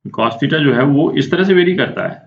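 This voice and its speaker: A man's voice: fairly high pitch, lively intonation, normal speed. An Indian man teaches like a physics tutor working through a problem aloud. He explains informally, often checking in and asking himself questions. The sound is roomy and somewhat muffled. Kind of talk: spoken lesson working through a problem step by step. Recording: echoey room, dull muffled sound.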